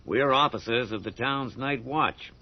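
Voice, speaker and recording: An actor playing an official, probing police officer of a town night watch in a radio drama. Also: male voice, mid-range voice, unhurried, lightly acted. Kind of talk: 1980s radio drama acting. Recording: dull, lightly noisy old radio recording.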